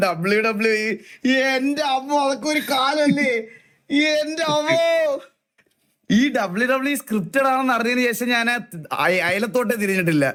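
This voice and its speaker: A man's voice: extremely high pitch, fairly bright tone. A young man with a Malayali accent chatting casually as a reaction streamer, full of slangy exclamations and repeated phrases. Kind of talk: casual live reaction chat.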